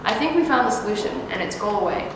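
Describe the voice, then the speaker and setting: A woman in her twenties, a university student, introducing herself and delivering an earnest scripted pitch. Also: animated, quick pace, heavy reverb, big-room sound, background noise. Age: 20s.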